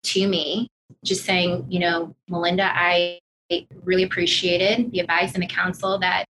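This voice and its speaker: A woman telling personal stories as an interview guest, sincere and halting, restarting phrases for emphasis. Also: on the low side, little pitch movement, background noise. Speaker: podcast guest, corporate executive. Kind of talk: personal storytelling in casual interview.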